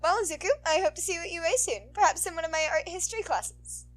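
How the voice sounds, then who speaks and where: Woman putting on a high, honeyed playful superheroine. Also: expressive, quick pace. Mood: friendly, reassuring. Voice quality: soft, honeyed. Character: soft, authoritative, playful adult superheroine, kind to children.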